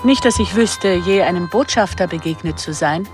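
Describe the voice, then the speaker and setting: A woman's voice: lowish voice, normal speed, over music, natural voice. An actress plays a cool female contract killer in a German radio drama. Her delivery is guarded, dry and terse. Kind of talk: German radio play acting.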